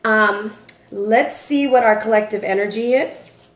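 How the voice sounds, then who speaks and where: A woman giving a casual, sassy tarot forecast, chatty and playful, a little hoarse from recovering from bronchitis. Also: mid-range voice, a little slow. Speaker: tarot reader. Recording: echoey room.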